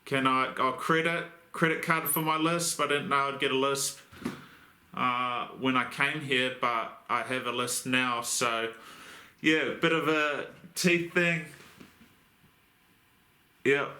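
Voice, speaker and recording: A man's voice: medium pitch, fairly even intonation, slow, pauses often, sharp tone, echoey room. A young Australian man with a lisp from new braces, hosting a casual how-to vlog about crane rigging, joking and swearing in a blokey, rambling way. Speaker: crane rigger and channel host.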